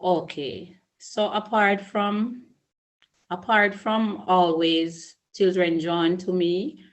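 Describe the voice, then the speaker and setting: Slow, low-voiced Jamaican woman. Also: fairly even intonation. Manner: slow, measured, earnest with restarts. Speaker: early childhood school principal. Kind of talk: interview answers.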